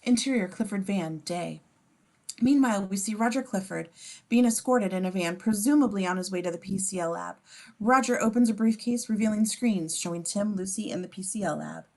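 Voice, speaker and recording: A woman's voice: medium pitch, brisk. A woman narrating as scene description reader, reading screenplay stage directions aloud at a table read.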